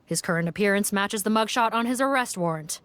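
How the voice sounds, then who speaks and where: An actress playing a cool, authoritative investigator in a video game, terse and matter-of-fact. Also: female voice, mid-range voice, quick pace, a bit bright, dry recording, natural voice. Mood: composed.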